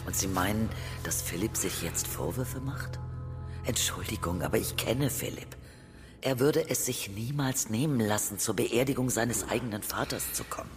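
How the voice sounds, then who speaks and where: A woman's voice: extremely low pitch, moderate pace. An actress playing a grieving, insistent woman in a radio play, earnest and probing in manner. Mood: puzzled, insistent. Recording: reverberant church sound with music.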